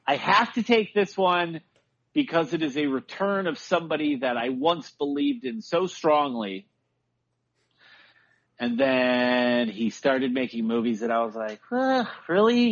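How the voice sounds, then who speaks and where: A man with a high voice, a guest on a podcast, chatting casually and swearing with enthusiasm. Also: big pitch swings, slow, gravelly, pauses often, highs cut off.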